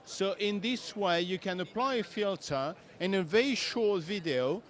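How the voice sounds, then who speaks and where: Brief: man, high voice, emphatic non-native English, trade show demo. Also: measured pace, fairly bright tone. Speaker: software demonstrator. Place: exhibition stand at trade show.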